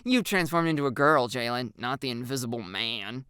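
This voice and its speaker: Woman acting bossy but kind teen schoolgirl, blunt, kinda exasperated, lively voice, storybook. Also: rumbling low, moderate pace, clean tone, dry recording, clean studio sound. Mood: frank. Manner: blunt, scolding.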